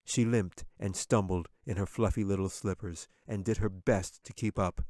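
A man's voice: deep voice, steady tone, moderate pace, darkish tone, no echo, clean studio sound. A man narrating a scripted fantasy audiobook as its author and storyteller.

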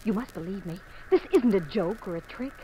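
A woman's voice: medium pitch, lively intonation, a little fast, muffled recording, natural voice. An actress in a 1950s radio drama playing an exotic young woman who pleads urgently with a stranger. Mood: urgent pleading. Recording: noisy old 1950s radio recording.

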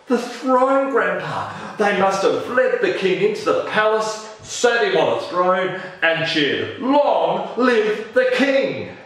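A man voicing an eager, excitable young donkey in a children's story, full of wide-eyed enthusiasm. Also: high voice, slow, few pauses, full character voice. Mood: eager, curious. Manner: exclaiming, breathless enthusiasm. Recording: roomy, echoing, distant microphone.

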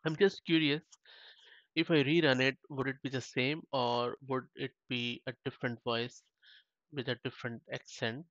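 A man talks through a software demo in a loose, chatty way, restarting his sentences as he thinks aloud. His voice sits at an ordinary pitch. The recording is dull and muffled, with a very dry sound. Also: level tone, moderate pace, dry recording. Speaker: tech tutorial host. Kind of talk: casual screen-share walkthrough.

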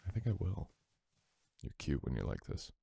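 A man playing a sweet, teasing, affectionate boyfriend in an intimate ASMR roleplay, soft-spoken and unhurried. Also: rumbling low, very animated, vocal fry, husky, no room sound, exaggerated voice.

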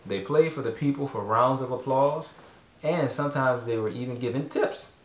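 A man's voice: medium pitch, normal speed. A man in his thirties, a father casually reading a storybook aloud to his children at home. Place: carpeted room in a home, indoors. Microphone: distant camera microphone. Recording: roomy echo from a distant camera.

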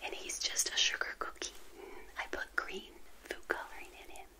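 A middle-aged woman whispering gently and intimately in an ASMR roleplay as a friendly witch hostess, close to the mic. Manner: gentle, unhurried, intimate personal attention. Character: friendly witch hosting a tea party. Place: small indoor set, seated. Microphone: close to the mic, facing the camera.

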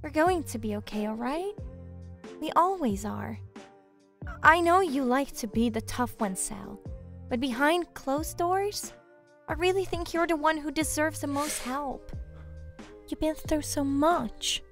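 A woman acting a caring, bubbly spider demon sister in an audio drama, with a reassuring, expressive delivery. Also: high-pitched, measured pace, soft background music. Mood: gentle concern.

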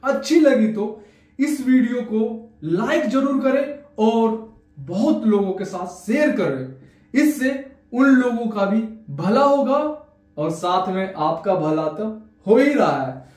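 A man's voice: extremely high pitch, lively intonation, takes it slowly, darkish tone. A man in his twenties with an Indian accent, explaining phone steps like a tutorial host, repeating himself for emphasis. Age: 20s. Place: small bare indoor room. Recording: echoey bare room.

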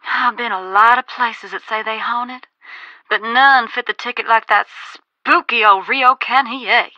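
A woman's voice: on the high side, a little slow, sharp tone, no room sound, lo-fi sound. An actress playing a brusque, sardonic Southern American woman reporter in a video game, folksy and blunt, heard through a phone filter. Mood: wary, serious.

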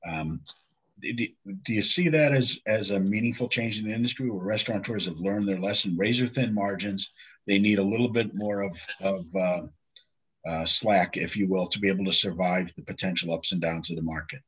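A man in his fifties moderating a panel, putting questions in a measured, halting way. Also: low voice, fairly bright tone, slightly rough. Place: home office with bookshelves. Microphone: computer mic on video call. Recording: dull video-call audio.

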